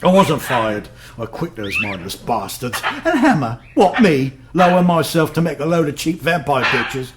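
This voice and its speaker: An actor playing a bitter, boozy failed London photographer in an audio drama, with a coarse, colloquial, rambling way of talking. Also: male voice, a little high, very animated, moderate pace, hardly any pauses, background noise. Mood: bitter, indignant. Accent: British, London. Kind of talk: audio drama narration and dialogue. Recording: radio drama with music underneath.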